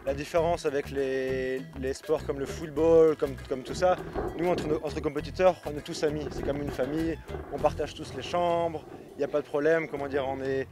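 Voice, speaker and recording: A man's voice: on the high side, steady tone, normal speed, music in the background. A young man from France, a kitesurf athlete, answering interview questions casually with many fillers and restarts. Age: young adult. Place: outdoors at a beach resort.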